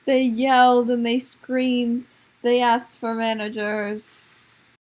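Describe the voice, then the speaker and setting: A woman's voice: a little high, flat delivery, takes it slowly, darkish tone, poor audio. A woman improvising comic stories as a storyteller, with a dramatic, sometimes hesitant delivery. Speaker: storyteller of improvised puppet shows.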